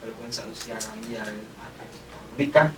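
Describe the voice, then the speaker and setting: A man's voice: on the low side, fairly even intonation, moderate pace, a crowd in the background. A man, chatting casually as a podcast guest. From Indonesia. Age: 20s. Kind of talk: casual interview chat. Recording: echoey, distant, noticeable background noise.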